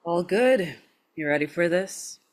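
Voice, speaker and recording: A man's voice: sits high, animated, measured pace. A man playing an over-friendly, upbeat corporate minder with slangy encouragement in a table read. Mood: chirpy.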